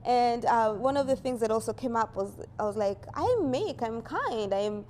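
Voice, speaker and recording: A woman's voice: fairly high pitch, lively intonation, normal speed, a little mellow, slight background noise. Chatty woman, Ugandan-born artist. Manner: rambling, full of "you know" fillers; laughs easily. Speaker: artist presenting her work. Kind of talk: casual talk about her artwork.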